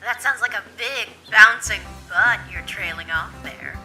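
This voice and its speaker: A woman's voice: mid-range voice, unhurried, pushed and bright, faint music behind. A woman playing a sassy, eager young sleuth in an audio drama, teasing and punchy.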